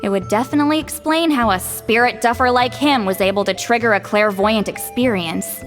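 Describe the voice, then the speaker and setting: A woman voicing a brisk, no-nonsense granny of a tribe, sharp but caring, in a video game. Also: fairly high pitch, lightly acted. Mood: matter-of-fact. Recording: soft game music behind the voice.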